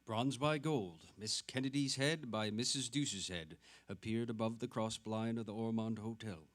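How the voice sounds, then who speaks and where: A man's voice: lowish voice, normal speed. A man narrating a novel aloud in a staged dramatic reading.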